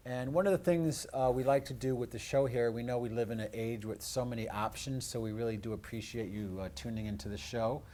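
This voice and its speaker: A man's voice: mid-range voice, a little fast, hardly any pauses, dark tone. A man hosting a live community show in a conversational, hesitant, rambling way. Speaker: radio show host.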